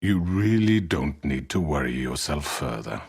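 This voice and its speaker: A menacing video game crime lord. His voice is very deep and husky, and it glides up and down expressively. He speaks with formal, unctuous courtesy, suave but threatening. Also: very animated, darkish tone, exaggerated voice. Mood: soothing, condescending. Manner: unctuous, formal, measured politeness. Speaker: crime boss. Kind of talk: dramatic video game acting.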